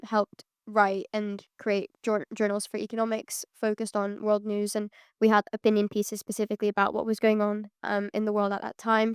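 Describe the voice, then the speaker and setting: Smooth-voiced young woman, flat delivery. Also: medium pitch, no room sound, clean studio sound. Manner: measured, frequent um fillers. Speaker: student panelist. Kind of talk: answering panel questions.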